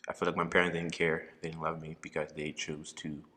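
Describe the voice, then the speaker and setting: Young man, deep and bright, reflective guest, pauses with ums, room echo. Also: flat delivery. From Liberia. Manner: thoughtful, pauses with ums, quick to laugh. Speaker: podcast guest. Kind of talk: faith testimony in casual interview.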